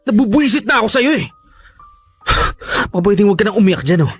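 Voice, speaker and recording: An actor with a Filipino accent playing a cold, irritable boyfriend, curt and scolding, in a radio drama. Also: male voice, high-pitched, very animated, quick pace, husky, soft background music, full character voice. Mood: angry.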